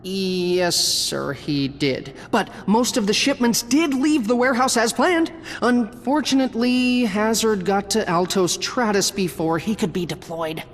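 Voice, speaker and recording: A man's voice: high voice, measured pace, long reverb tail, slight background noise. An actor playing a hesitant, apologetic, deferential male underling in a video game. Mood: nervous. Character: nervous, deferential male underling to villain boss. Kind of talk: video game cutscene acting.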